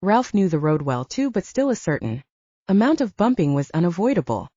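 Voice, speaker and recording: A woman's voice: lowish voice, very animated, a little fast, dark tone, slightly rough, no room sound. A woman reading scripted fiction as an audiobook narrator, in a slightly dull recording.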